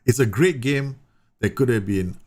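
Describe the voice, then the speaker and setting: A man's voice: medium pitch, normal speed, a little mellow. A man from Singapore livestreaming a football management game, chatting and explaining tactics to his viewers with frequent fillers and restarts. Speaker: streamer. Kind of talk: live game commentary answering chat.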